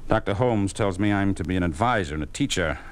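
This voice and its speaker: An actor playing a proud, principled surgeon in an old radio drama, formal, firm and indignant. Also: male voice, sits low, lively intonation, quick pace. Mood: concerned. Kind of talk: 1950s radio drama acting. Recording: old 1950s radio recording.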